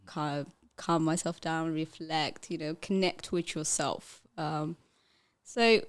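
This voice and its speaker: Chatty lowish-voiced woman from Ethiopia. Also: steady tone, slow pace. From Ethiopia, later the Netherlands. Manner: laughs often, chatty. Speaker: podcast guest. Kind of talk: casual chat interview.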